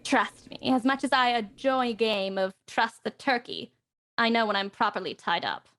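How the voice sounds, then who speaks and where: A woman's voice: a little high, lively intonation, moderate pace, fairly bright tone. An actress playing a haughty, worldly society woman in a 1920s radio mystery, speaking in an arch, affected way. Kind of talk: 1920s radio drama acting.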